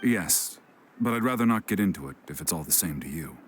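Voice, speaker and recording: Low, brooding veteran warrior. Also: male voice, animated, brisk, very noisy. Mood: guarded. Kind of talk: English video game voice acting. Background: music.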